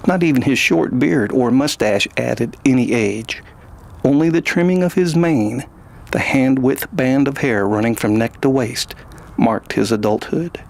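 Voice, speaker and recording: A man's voice: medium pitch, vocal fry. A man hosting and narrating a scripted science fiction story. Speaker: host and narrator.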